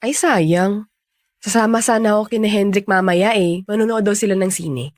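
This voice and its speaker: An actress playing a skeptical, protective young sister in a radio romance drama, with a blunt, probing way of talking. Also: female voice, mid-range voice, moderate pace, no echo, studio quality, lightly acted. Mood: casual.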